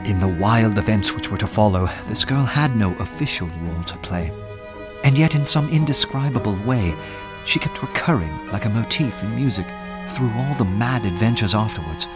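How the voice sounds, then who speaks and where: An actor playing a witty, self-assured young poet in a radio play, courteous, dry and eloquent. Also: male voice, lowish voice, animated, normal speed, music in the background, lightly acted. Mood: reflective narration. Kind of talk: full-cast radio play acting with first-person narration.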